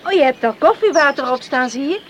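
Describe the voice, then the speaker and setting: Young farm herder: high voice, unhurried, inquisitive, radio drama, clearly noisy old recording. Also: female voice, animated. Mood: friendly chatting. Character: young country woman herding animals, plainspoken sister. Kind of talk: Dutch radio play acting.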